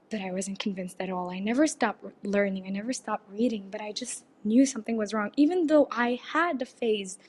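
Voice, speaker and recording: Breathy teenage girl in an earnest voicemail. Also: medium pitch. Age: teens. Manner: earnest, halting, soft pauses. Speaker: caller leaving a voicemail. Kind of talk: personal testimony.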